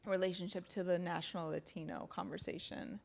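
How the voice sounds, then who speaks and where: Creaky-voiced woman hosting a radio chat. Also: fairly low pitch, fairly even intonation, fairly quick. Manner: thinks aloud, warm and chatty. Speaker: radio show host. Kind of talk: casual interview conversation.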